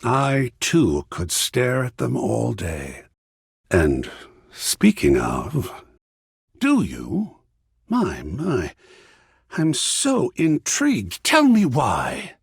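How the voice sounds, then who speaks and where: An actor playing an effusive, refined male art lover in a video game, speaking in a florid, theatrical way with dramatic pauses. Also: mid-range voice, huge pitch swings, vocal fry, husky, no room sound, clean studio sound, full character voice. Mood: charmed, intrigued.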